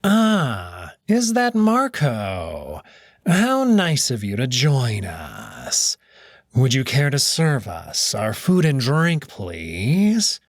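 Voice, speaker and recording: A man voicing a smug, menacing blind male wolf noble who speaks slowly with mocking politeness. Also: medium pitch, wildly swooping pitch, no room sound, clean studio sound, full character voice. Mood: mockingly polite. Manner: slow, drawn-out, mockingly polite.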